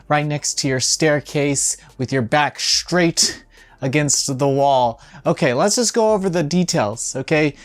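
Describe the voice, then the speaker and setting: Casual, sarcastic video game news host. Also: mid-range voice. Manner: sarcastic, exasperated asides with swearing.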